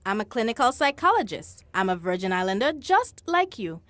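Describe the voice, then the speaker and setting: A woman's voice: fairly high pitch, big pitch swings, brisk, fairly bright tone, no echo. A woman playing an earnest, resolute doctor who hosts a radio talk show in a drama, speaking articulately and firmly. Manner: articulate, firm.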